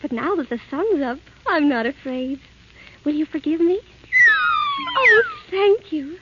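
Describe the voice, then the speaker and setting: A woman voicing a sweet, timid young princess in an old animated film, gentle and dainty. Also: high voice, unhurried, heavy background noise. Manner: gentle, dainty, sing-song. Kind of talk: 1930s animated film acting. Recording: dull vintage film soundtrack with music.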